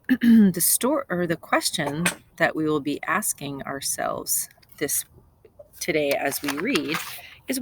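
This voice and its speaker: A woman's voice: sits low, vocal fry, rough recording. A woman reading aloud to young children as a teacher, explaining simply and repeating key points. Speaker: second grade teacher.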